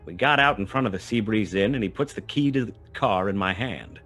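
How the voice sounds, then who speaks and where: Guy as a hardboiled private eye narrating old radio noir, low and wry, bit of music behind. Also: brisk, a bit bright, close to an everyday voice. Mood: wry, tense narration. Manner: wry, laconic. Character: hard-boiled male private detective narrating his own story.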